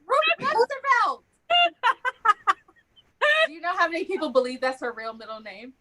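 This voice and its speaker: A woman's voice: high-pitched, huge pitch swings, moderate pace, very noisy. A woman in casual comedic banter, blunt and teasing, swearing freely.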